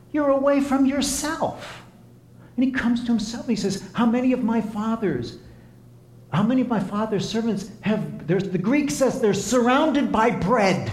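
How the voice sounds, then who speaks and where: A man preaching in a high, lively voice, telling stories with sudden loud emphatic peaks and dropping to low quiet asides. The sound carries a noticeable room echo. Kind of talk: sermon with storytelling.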